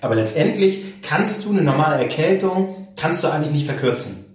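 Podcast co-host with a cold, a bit higher voice, set back from the mic in a very echoey room. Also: male voice, animated, brisk, recorded from a distance. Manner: explanatory, teasing. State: has a cold, sore throat. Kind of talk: casual chat.

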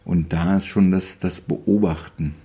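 A man in his fifties with a very low, mellow voice talks as a photographer in an interview. He rambles with wry, teasing humor and frequent hesitations. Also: steady tone, measured pace. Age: 50s. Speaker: photographer guest. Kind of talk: casual interview.

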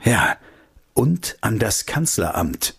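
An actor playing a secretive, insistent informant in a radio play, speaking deliberately and emphatically. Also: male voice, lowish voice, sing-song, gravelly, fairly bright tone, breathy edge, exaggerated voice. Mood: guarded, conspiratorial. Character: secretive male informant leaking intelligence papers, guarded, insistent. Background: music.

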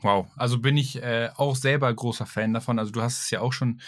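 A man's voice: medium pitch, steady tone, a little fast. A man hosting a podcast, asking long, rambling questions with enthusiasm. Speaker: podcast host. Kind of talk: casual interview talk.

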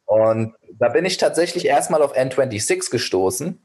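A young man, a founder being interviewed. His voice sits at an ordinary pitch while he gives long, winding, earnest answers. He is full of fillers and quick restarts. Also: expressive, a little mellow. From Germany. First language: Persian. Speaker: startup founder, guest. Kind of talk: podcast interview.

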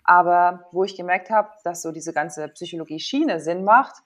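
Candid, lowish-voiced woman telling her story. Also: moderate pace. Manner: informal, candid, thinks aloud with self-quoted thoughts. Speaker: interview guest, former athlete and sports psychologist. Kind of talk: casual personal storytelling in an interview.

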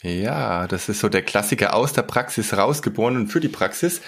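A man's voice: on the low side, expressive, normal speed, gravelly, fairly bright tone, a little hiss or hum. A man hosting a podcast and interviewing his guest in a conversational, somewhat hesitant way, with fillers. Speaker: podcast host, HR blogger.

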